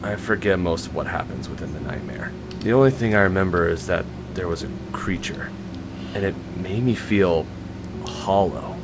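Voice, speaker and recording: A man's voice: low-pitched, moderate pace, noisy background. A man voicing a folksy, oddball patient in a visual novel game, talking in an informal, rambling, slangy way. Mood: uneasy, weary. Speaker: video game character. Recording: loud music behind the voice. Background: loud game music.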